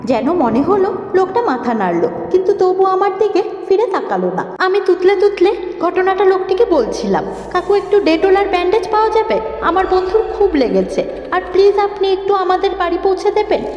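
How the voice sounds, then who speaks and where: Very high-pitched frightened schoolgirl acted by a woman. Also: big pitch swings, brisk, few pauses, darkish tone. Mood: anxious, dramatic. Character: timid, frightened seventh-grade schoolgirl telling her ghost story. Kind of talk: dramatic horror story narration. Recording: echoing, long reverb, muffled lo-fi, music. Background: music.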